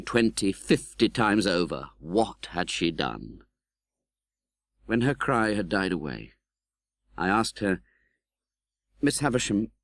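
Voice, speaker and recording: A British man playing an earnest, tender-hearted gentleman who recounts his own life in a dramatic, measured audiobook narration. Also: lowish voice, no echo. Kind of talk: dramatic literary audiobook narration in first person.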